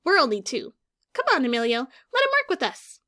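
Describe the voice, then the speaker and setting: A kind, eager young boy from a picture book, as a teacher reading aloud puts him on. He sounds bright and upbeat, pitched above an ordinary grown-up voice with a springy, lively lilt. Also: fairly quick, heavily acted. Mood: coaxing. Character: young schoolboy Justin, friendly and kind.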